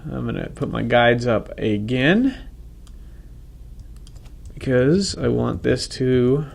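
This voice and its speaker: A man with a head cold, talking casually through a software tutorial and correcting himself as he goes. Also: medium pitch, takes it slowly, vocal fry, breathy edge. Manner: casual, thinks aloud, self-correcting. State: congested from a cold. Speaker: software instructor. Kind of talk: screen-recorded software tutorial.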